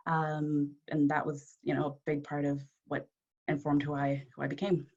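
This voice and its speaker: A woman from Zimbabwe, an aerospace engineer, talking in a friendly, relaxed way over a video call. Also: deep voice, moderate pace, highs cut off. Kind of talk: casual chat.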